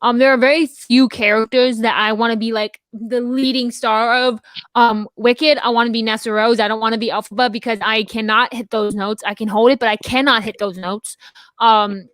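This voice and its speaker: A young woman with a high-pitched voice chats in a rambling, encouraging way as a podcast co-host. Her voice sits fairly high. The sound is dry and close. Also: fairly even intonation, moderate pace, a bit bright, no room sound. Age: young adult. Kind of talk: casual chat.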